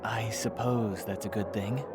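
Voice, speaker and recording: An actor playing a worried, reluctant young god in disguise in an audio drama, with a measured, doubtful delivery. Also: male voice, on the low side, steady tone, music in the background, natural voice. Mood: uneasy, reluctant.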